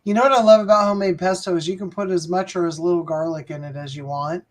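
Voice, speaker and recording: A woman with a deep voice, co-hosting a casual live-stream chat in a chatty, agreeable way and laughing easily. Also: steady tone, fast. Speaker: co-host of a live channel chat.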